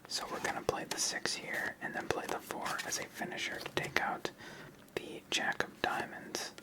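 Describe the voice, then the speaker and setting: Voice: normal speed, rough recording. An ASMR host whispering a methodical, step-by-step walkthrough of a card game. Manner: methodical, explains moves step by step.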